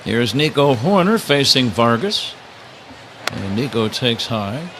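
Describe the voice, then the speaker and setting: A man calling baseball play-by-play live on the radio, slipping wry, casual banter between pitch calls. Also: sing-song, takes it slowly, a bit bright. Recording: clear ballpark noise behind. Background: outdoor stadium ambience.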